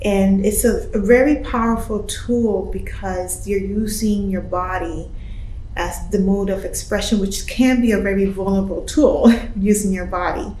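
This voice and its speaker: A woman's voice: medium pitch, fairly even intonation, measured pace, dark tone, slight background noise. A woman from Puerto Rico, a choreographer, speaking earnestly and reflectively in an interview. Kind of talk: casual interview talk. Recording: roomy sound with faint ambience.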